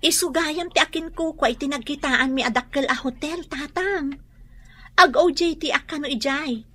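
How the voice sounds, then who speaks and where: A woman's voice: sits high, moderate pace, a bit bright, no room sound. A woman with a Filipino accent playing an earnest, respectful young student in an Ilocano radio drama. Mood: earnest, hopeful. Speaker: drama actor.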